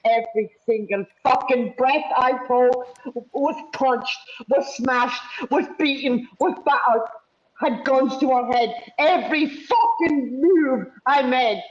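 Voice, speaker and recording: A woman's voice: sits high, slow pace. A distraught woman venting without a script, swearing heavily in an impassioned way. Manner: heavy swearing, raised impassioned delivery. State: distraught, upset. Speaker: livestream guest and chat moderator. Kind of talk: emotional unscripted venting. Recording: echoey, dull lo-fi online audio.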